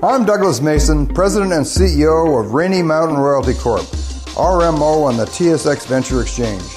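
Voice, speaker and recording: Higher-pitched man reading a scripted promo. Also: lively intonation, normal speed, a little mellow. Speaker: company president delivering sponsor ad. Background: music bed.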